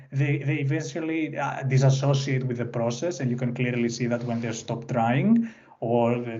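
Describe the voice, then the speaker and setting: A man's voice: mid-range voice, warm tone, highs cut off. A young man whose first language is Greek, speaking English with a Greek accent, presenting an online workshop in an unscripted way with many "uh" fillers and restarts. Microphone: computer mic on a video call.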